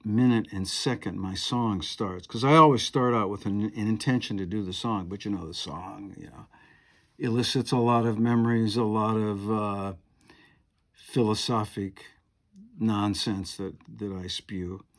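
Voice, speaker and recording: A man's voice: fairly low pitch, fairly even intonation, measured pace, fairly bright tone. A man in his seventies, a piano and harp player, talking at home in a meandering, chatty way with lots of fillers and asides. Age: 70s. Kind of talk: rambling monologue to camera.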